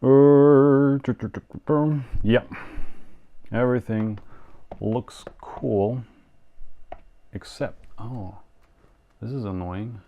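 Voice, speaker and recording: A man's voice: medium pitch, very slow, long pauses, phone-quality sound. A young man whose first language is German hosts a live show in casual, hesitant talk with many fillers and restarts. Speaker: show host. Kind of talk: casual live-stream hosting.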